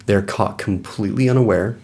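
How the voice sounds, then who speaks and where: Fast-talking man running a tabletop game. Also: on the low side, a little mellow. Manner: informal, conversational rulings and scene-setting. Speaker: game master. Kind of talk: casual narrating of a tabletop role-playing game.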